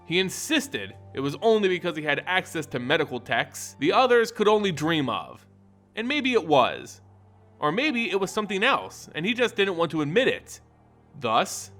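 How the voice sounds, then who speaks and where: A man with a fairly high, bright voice tells a fantasy tale. His delivery is theatrical and very animated, with emphatic phrasing. Music plays softly behind him. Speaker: lore video narrator and channel host. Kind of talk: dramatic scripted story narration. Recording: voice over background music.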